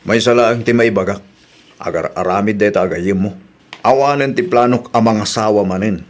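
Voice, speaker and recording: A man with an Ilocano accent voicing a patient widower who speaks calmly and earnestly in an audio drama. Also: fairly low pitch, normal speed, close to an everyday voice.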